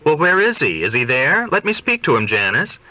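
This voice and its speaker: A man's voice: a little high, sing-song, a little fast, no echo, muffled recording, pitched above the performer's own voice. A man reading an audiobook as a worried older father, affectionate but probing, pressing with questions.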